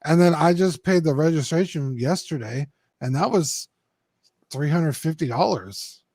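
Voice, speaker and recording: Young guy rambling on a podcast, kinda higher voice, loose and sweary, dry close mic. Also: animated, unhurried, darkish tone, no room sound. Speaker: co-host. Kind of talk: casual podcast chat.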